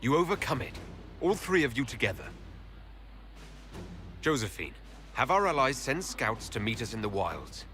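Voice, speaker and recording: A man's voice: mid-range voice, very animated, moderate pace, long pauses, bright, forceful tone, faint music behind. A man playing a resolute fantasy army leader in a video game, decisive, rousing and formal in his delivery.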